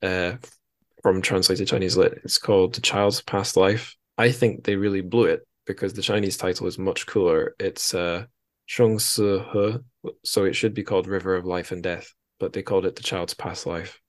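Wry, low-voiced man hosting a podcast. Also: fairly even intonation, normal speed, no echo, clean studio sound. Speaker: podcast host. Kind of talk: casual podcast chat.

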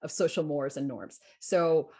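A woman's voice: low-pitched, a little slow, a little mellow, husky. A woman in her forties from Guatemala talking reflectively in an interview, emphatic and repeating phrases to drive points home. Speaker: interview guest. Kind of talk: reflective personal interview talk.

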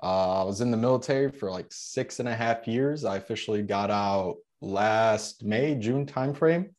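A man in his twenties from the Chicago suburbs, whose first language is Polish, telling his story as a podcast guest in a relaxed way with frequent fillers. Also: fairly low pitch, moderate pace. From Chicago suburbs, USA. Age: 20s. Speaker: guest, veteran and real estate investor. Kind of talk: podcast interview.

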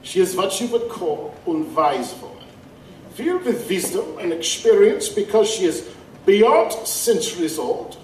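High-pitched pompous learned vampire gentleman, acted by a man. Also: sing-song. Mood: reverent, solemn. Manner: pompous, deliberate, formal phrasing. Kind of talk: audio drama acting. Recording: roomy, distant, faint background noise.

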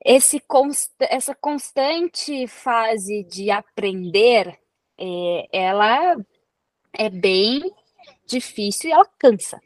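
A woman from Brazil with a Brazilian Portuguese accent, a researcher talking as a guest in a relaxed interview and explaining with everyday examples. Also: fairly high pitch, drawn-out words, no echo. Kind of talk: casual interview conversation.

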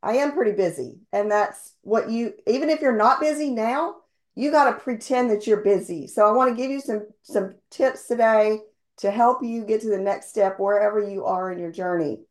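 Slightly hoarse woman giving a pep talk. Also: medium pitch, normal speed, a little mellow. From based in Houston, Texas. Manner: earnest, rambling, with many ums and restarts. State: slightly losing her voice. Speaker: insurance agency owner sharing sales tips. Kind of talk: motivational talk on a team call.

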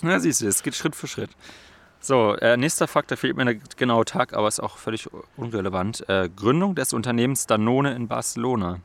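A young man runs a relaxed quiz over a call, reading facts aloud. His delivery halts and restarts as he trips over words. His voice sits at an ordinary pitch. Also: gravelly. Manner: halting, reads with slips and restarts. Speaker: quiz host. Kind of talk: casual game chat, reading facts aloud.

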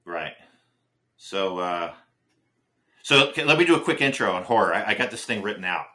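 A man's voice: on the low side, lively intonation, normal speed, sharp tone. A man co-hosting a casual film podcast, rambling and chatty with lots of restarts and fillers. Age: older than his co-host. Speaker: podcast co-host.

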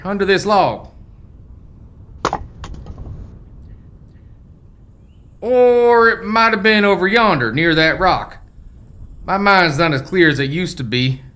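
An actor playing a folksy, forgetful old American rancher's ghost in a family radio play, with rustic old-timer phrasing. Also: male voice, sits high, bright, forceful tone. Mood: muddled. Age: older.

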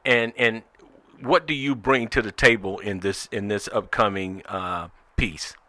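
A man's voice: fairly low pitch, unhurried, bright, forceful tone, no echo. A man hosting a talk show, interviewing guests in a conversational style.

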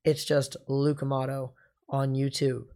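A young American man hosting a podcast and interviewing in a casual way, his voice a little hoarse. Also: medium pitch, completely flat delivery, a little slow, warm tone. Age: young adult. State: slightly hoarse. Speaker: podcast and college radio host. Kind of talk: casual show presenting and interviewing.

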